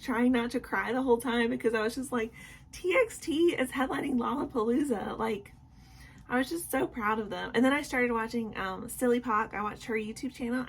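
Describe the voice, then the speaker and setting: A young woman talks casually into her camera at home at night, her voice a little high and touched by a sore, sick throat. She rambles warmly with plenty of ums and likes, often apologizing. A faint hum of room ambience sits underneath. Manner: apologetic, chatty, many "um"s and "like"s. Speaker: vlogger. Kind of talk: casual nightly vlog chat.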